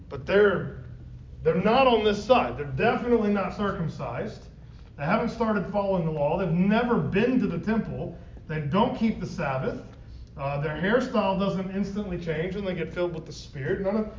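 Man, higher pitch, Bible study teacher, asks the class questions, distant mic, lo-fi. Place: classroom or church meeting room. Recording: roomy, distant, dull lo-fi with faint noise.

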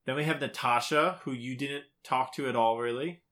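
A man with a very bright, forward voice at an ordinary pitch, co-hosting a casual gaming chat. He talks informally and states his opinions freely. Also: fairly even intonation, normal speed. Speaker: podcast co-host. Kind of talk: casual chat about a video game.

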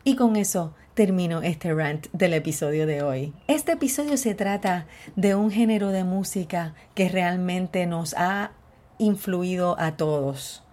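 Puerto Rican woman host, slightly low, dark and mellow tone, frank and outspoken delivery. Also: measured pace. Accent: Puerto Rican Spanish. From Puerto Rico. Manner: outspoken, candid, openly frustrated. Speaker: podcast host. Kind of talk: solo podcast monologue.

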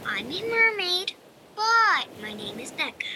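A gentle young mermaid, played by a woman, with a very high voice and an unhurried pace. She is soothing and calm, in the manner of an audio drama. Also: slight background noise, exaggerated voice. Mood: gentle, reassuring.

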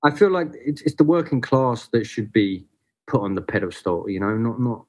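A man's voice: medium pitch, moderate pace. A man talking as a photographer guest in a casual podcast chat, hesitant with many fillers and restarts.

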